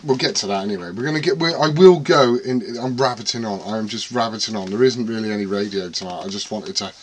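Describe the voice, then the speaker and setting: A man's voice: lowish voice, fast. A British man vlogging casually from his radio shack, rambling, swearing freely and poking fun at himself. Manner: sweary, self-deprecating, rambling. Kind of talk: casual rambling chat to camera.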